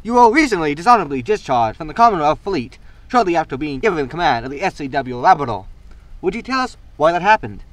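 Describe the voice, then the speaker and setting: A man's voice: on the high side, big pitch swings, fast talker, no echo, rough recording. An actor playing a brash, smug male reporter in a sci-fi comedy audio drama, with an insistent, theatrical way of interviewing. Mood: eager, shocked.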